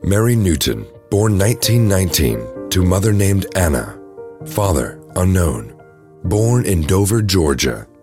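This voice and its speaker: A man narrates an audio drama from a script. His voice is deep and low, with music playing clearly behind him. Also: sing-song, measured pace.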